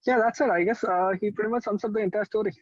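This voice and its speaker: A man's voice: high voice, fairly even intonation, rapid-fire, no room sound. A man in his twenties with an Indian accent, chatting casually as a musician guest in an interview in a loose, hedging way. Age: 20s. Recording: dry, dull lo-fi call sound.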